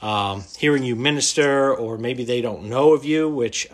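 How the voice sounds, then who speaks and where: A man hosting a podcast interview, hesitant, with many pauses and fillers. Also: medium pitch. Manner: hesitant, many ums and uhs. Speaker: podcast host.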